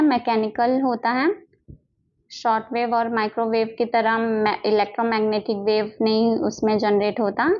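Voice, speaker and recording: A woman with an Indian accent teaching a lesson, reading out notes and explaining them step by step, often repeating herself with little check-in tags. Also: fairly high pitch. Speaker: physiotherapy teacher. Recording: dull lo-fi microphone sound.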